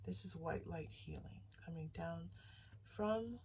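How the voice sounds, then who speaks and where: A woman hosting a casual tarot reading, speaking to listeners directly in a soothing, reassuring way and haltingly thinking aloud. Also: on the low side, a little mellow. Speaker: tarot reader and channel host. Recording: distant mic, faint background noise.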